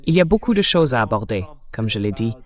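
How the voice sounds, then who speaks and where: A man's voice: mid-range voice, sing-song, quick pace. A synthetic male voice hosting an interview, setting out the news as a narrated summary. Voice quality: synthetic text-to-speech voice. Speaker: interview host.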